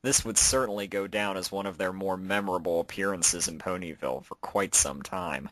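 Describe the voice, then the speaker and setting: A man's voice: deep voice, a little fast, fairly bright tone, dry recording, poor audio. A man reading out a story as its narrator, in a scripted storytelling style. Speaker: narrator and fan fiction author.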